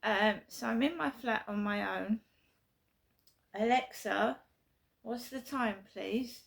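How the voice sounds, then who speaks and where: Gravelly middle-aged woman. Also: fairly high pitch, takes it slowly. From South London, England. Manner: repetitive, emphatic, earnest storytelling. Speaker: vlogger. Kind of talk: casual personal vlog. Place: her flat at night.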